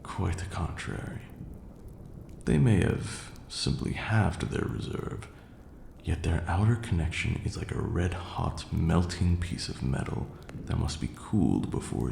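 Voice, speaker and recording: A man playing an ancient vampire lord in an intimate ASMR roleplay, haughty yet tender, speaking formally and with measured care in a deep, gravelly murmur. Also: darkish tone, heavily acted. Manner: measured, formal archaic diction, condescending yet doting. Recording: roomy echo, faint background noise.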